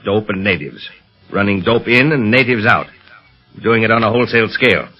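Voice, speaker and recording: A lowish, steady voice of a calm, commanding police chief, as a man acts him out, laying out plans with measured authority. The sound is dull and lo-fi, like an old radio serial. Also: faint music behind, lightly acted. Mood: firm. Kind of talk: 1930s radio acting.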